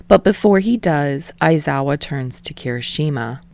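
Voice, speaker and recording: Female fiction narrator, low register, slightly gravelly, expressive scripted read. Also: normal speed, slight background noise. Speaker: audiobook reader of fan fiction.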